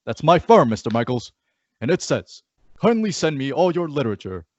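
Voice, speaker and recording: Stiff, indignant businessman for radio comedy, male actor, very animated intonation, lo-fi dry sound. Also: a little high, normal speed, no room sound. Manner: stiff, formal. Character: formal, indignant businessman father. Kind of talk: old-time radio comedy acting. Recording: dull lo-fi radio drama audio.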